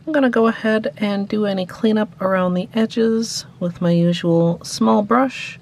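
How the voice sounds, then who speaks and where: Calm woman narrating a nail tutorial. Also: mid-range voice, unhurried. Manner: calm step-by-step instructions. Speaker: nail art tutorial host.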